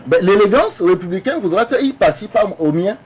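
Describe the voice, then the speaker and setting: A man's voice: sits high, lively intonation, breathy edge, dull audio. Insistent man in radio debate. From Togo. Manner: halting, many restarts, insistent. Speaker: opposition politician, guest.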